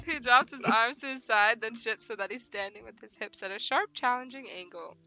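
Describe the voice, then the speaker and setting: A woman streaming a visual novel, reading it aloud with animated, slangy, foul-mouthed commentary. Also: fairly high pitch, brisk. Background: faint music.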